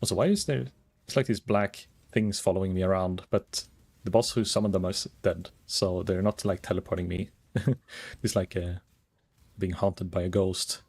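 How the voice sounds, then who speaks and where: A calm, soft-spoken man chatting casually while streaming a game, rambling and thinking aloud with many fillers. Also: low-pitched, normal speed, husky. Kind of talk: casual gaming stream chat.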